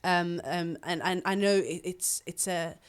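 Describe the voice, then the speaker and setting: A woman's voice: on the low side, unhurried, smooth. A woman with a lilt hosting a podcast, who asks questions in a hesitant, stammering, friendly way. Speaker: podcast host. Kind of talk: casual podcast interviewing.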